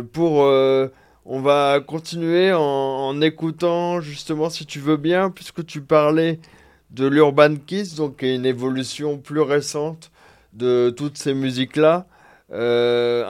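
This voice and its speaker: A man's voice: on the high side, steady tone, slow pace, a little mellow, clean tone. A man hosting a radio show, chatting casually and searching for his words as he introduces music. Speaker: radio host.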